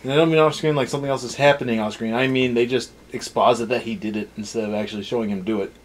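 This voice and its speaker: A man's voice: mid-range voice, fairly even intonation, racing. A man giving a casual, unscripted episode review as a co-host, with a sarcastic, snarky way of talking. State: tired.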